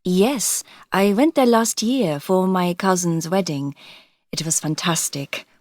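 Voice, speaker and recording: A woman from India, raised in England, answering interview questions in a scripted textbook dialogue, clear and explanatory. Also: fairly low pitch, slow, a little mellow, no room sound, clean studio sound.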